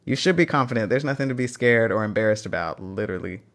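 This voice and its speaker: A man's voice: fairly low pitch, lively intonation, fast talker, vocal fry, fairly bright tone. A man with a Texan accent hosting a live phone stream, reading out chat questions and encouraging language learners. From Texas. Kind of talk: casual livestream Q&A.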